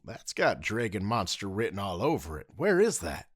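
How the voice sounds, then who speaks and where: A man's voice: fairly low pitch, wildly swooping pitch, moderate pace, breathy edge, dry recording, studio quality, exaggerated voice. A man voicing a laid-back, slangy young martial arts teacher. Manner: easygoing, slangy, teasing.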